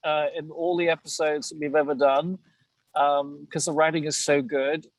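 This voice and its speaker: A British man speaks in a somewhat high voice. He chats casually as an interviewed actor, starting and restarting in a halting way. The recording is dry and close. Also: no echo, rough recording. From Britain.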